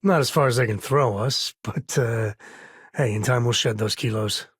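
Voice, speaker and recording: An actor playing an easygoing, middle-aged space cowboy and devoted father in a video game, with a husky, rough-edged voice and folksy, dryly humorous phrasing. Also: mid-range voice, normal speed, dry recording. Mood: upbeat, wry. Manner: folksy, relaxed phrasing with dry humour. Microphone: close dry studio mic.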